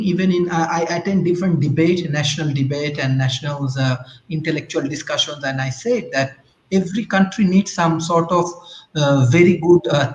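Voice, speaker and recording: A man talks as a guest on a talk show, stopping often on fillers and restarting his sentences. His voice sits slightly high. It comes through a roomy, dull-sounding remote connection. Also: slight background noise. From Bangladesh. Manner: halting, many fillers and restarts.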